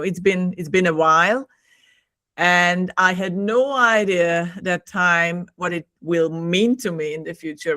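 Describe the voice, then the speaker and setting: A woman's voice: lowish voice, fairly bright tone, no echo. A woman with a Hungarian accent, a native Hungarian speaker, chatting enthusiastically as a podcast guest over a video call, laughing often and repeating words. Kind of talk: casual conversation.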